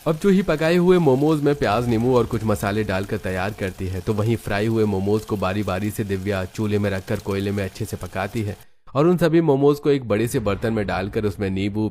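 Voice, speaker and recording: A man's voice: fairly low pitch, brisk, darkish tone, slight background noise. A man reading scripted narration for a cartoon family story.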